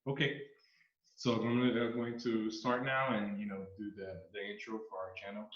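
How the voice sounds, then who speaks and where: A man from Brazil with a Brazilian Portuguese accent hosts an interview in his second language. His voice sits on the low side and he pauses and restarts as he looks for words. The recording sounds roomy and a little muffled. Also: little pitch movement. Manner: halting, searching for words. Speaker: interviewer, channel host. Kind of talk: casual interview.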